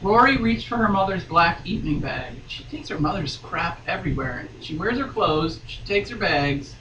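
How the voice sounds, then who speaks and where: A woman's voice: fairly low pitch, animated, moderate pace. A woman reading a story aloud in a wry, theatrical way, breaking off for mocking asides. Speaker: podcast guest reading a novel aloud. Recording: roomy, distant mic, faint noise.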